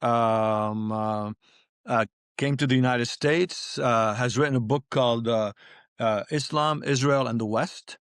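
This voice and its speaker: A man from Lebanon hosting an interview podcast in a conversational way, halting and often repeating his words. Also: medium pitch, smooth, dry recording, clean studio sound. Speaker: podcast host.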